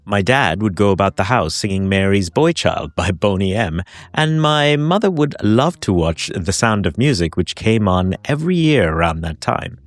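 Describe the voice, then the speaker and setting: A man's voice: lowish voice, animated, moderate pace, no room sound. A man narrating a podcast episode, telling personal holiday stories in a reflective way. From Hong Kong. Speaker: podcast host. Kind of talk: scripted narration.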